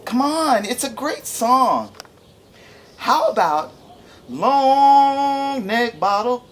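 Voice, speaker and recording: Bright-spirited, playful flowers acted by a woman storyteller chatter and sing in a high, swooping voice. They gush with showy, youthful excitement in a storybook style. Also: drawn-out words, a little hiss or hum, full character voice. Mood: exuberant. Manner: gushing, playful, sing-song, breaking into song.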